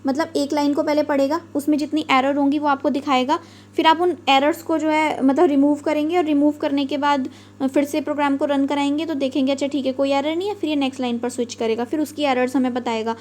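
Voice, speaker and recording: A young woman explains computer software in a lecture, patiently and with everyday examples. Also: sits high, fairly even intonation, fast talker. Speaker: computer teacher.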